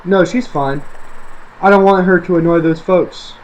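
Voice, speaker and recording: A man's voice: sits high, moderate pace, lo-fi sound, slight background noise, close to an everyday voice. A man reading the part of a frustrated young father, muttering and exasperated. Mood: casual, weary. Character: frustrated young father on a ruined family vacation.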